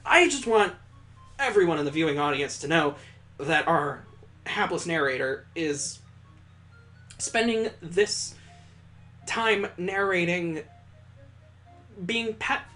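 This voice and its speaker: Male game streamer, fairly high voice, expressive story reading with wry asides. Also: slow pace, long pauses, soft background music. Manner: theatrical, breaks off for wry asides. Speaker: video game let's play host. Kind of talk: expressive read-aloud of a visual novel with asides.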